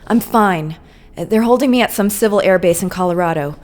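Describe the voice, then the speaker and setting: A woman's voice: medium pitch, a little fast, a little mellow, close to an everyday voice. A woman playing a tough, weakened captive agent in a video game, speaking tersely and with determination. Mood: weary, determined. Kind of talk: video game cutscene acting.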